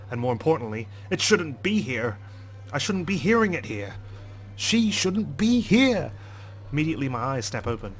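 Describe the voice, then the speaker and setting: A man doing a let's play, reading a visual novel aloud with theatrical expression. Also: medium pitch. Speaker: let's player. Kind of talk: dramatic read-aloud of a visual novel with asides.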